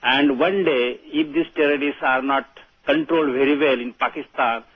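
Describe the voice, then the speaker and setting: A man with an Indian accent calling a phone-in, making an earnest, halting political comment. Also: mid-range voice, breathy edge, dry recording. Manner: halting, repeats phrases. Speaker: phone-in caller. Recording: dull telephone line on broadcast.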